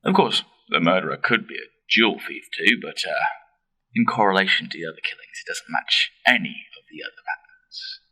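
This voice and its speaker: A man acting a hesitant but persistent detective in an amateur radio drama. The voice is low, husky and very forceful in tone, recorded away from the microphone with faint music behind. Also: huge pitch swings, a little fast, far from the mic, heavily acted, low-quality recording. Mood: accusing.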